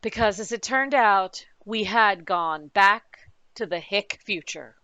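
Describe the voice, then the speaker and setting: A woman's voice: medium pitch, slow pace, sharp tone, smooth, dry recording, poor audio. A woman running a tabletop game, recapping the adventure with playful, dramatic storytelling and teasing asides. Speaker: game master. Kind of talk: recapping a tabletop campaign with players.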